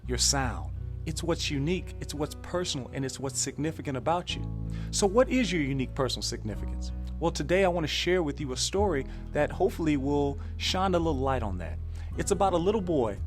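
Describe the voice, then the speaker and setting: American male storyteller, encouraging and emphatic, very lively delivery over music, for a children's read-along. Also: on the high side, brisk. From Louisiana, USA. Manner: encouraging, emphatic, drawing listeners to join in.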